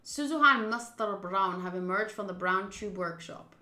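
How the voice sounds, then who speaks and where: Woman game streamer, bright forceful tone, reads story text aloud, casual chatty asides, echoey room. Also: mid-range voice, moderate pace. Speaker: streamer doing game playthrough.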